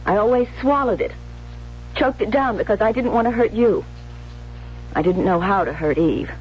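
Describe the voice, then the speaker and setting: A woman's voice: medium pitch, normal speed, slight background noise, close to an everyday voice. Intense, jealous young American woman played by an actress, muffled radio. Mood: bitter, resentful. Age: young adult. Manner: intense, emphatic, theatrical. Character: jealous, resentful young bride-to-be, emotional younger sister. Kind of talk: 1970s radio drama acting, dialogue and confessional narration. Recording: muffled old radio broadcast, faint music.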